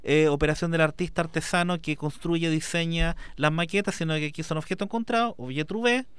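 A man's voice: on the high side, a little fast, a bit bright, clear, resonant tone, dry recording. A man, an artist guest in an interview, talking passionately and rambling with many fillers. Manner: rambling, fills with "digamos", passionate. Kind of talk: conversational interview.

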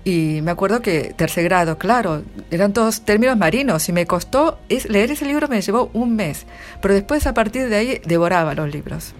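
A woman's voice: mid-range voice, animated, a little fast, soft background music. A middle-aged woman with an Argentine accent, a literary translator chatting in an interview with many restarts and frequent laughs. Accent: Argentine Spanish. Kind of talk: casual interview answers.

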